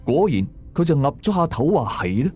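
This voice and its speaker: A man's voice: on the high side, very animated, moderate pace, a little mellow, soft background music. A man with a Hong Kong accent narrating a scripted ghost story in a colloquial, dramatic and suspenseful way.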